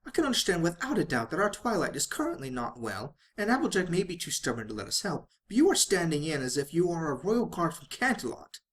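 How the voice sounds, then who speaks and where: A man's voice: a little high, big pitch swings, quick pace, few pauses, fairly bright tone, voice pushed up. A man voicing a refined, dramatic lady pony, fretful and genteel, in a story reading. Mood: worried, earnest.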